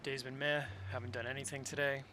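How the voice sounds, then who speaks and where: A man streaming a video game, chatting casually and relaxed with his viewers. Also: medium pitch, quick pace, slight background noise. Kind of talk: casual gameplay commentary. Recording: loud game music behind.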